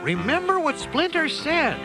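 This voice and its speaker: A man's voice: very high-pitched, big pitch swings, a little slow, pitched above the performer's own voice, full character voice, rough recording. A man voicing an earnest, commanding teenage turtle leader who speaks firmly and urgently in a children's audio storybook. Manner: firm, urging. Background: loud music.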